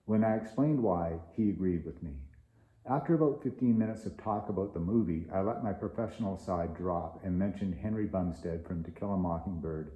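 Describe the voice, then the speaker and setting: A man's voice: low-pitched, fairly quick, muffled recording. A man telling stories from his working life in film, partly reading aloud from his own memoir. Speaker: film property master and author. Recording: roomy echo.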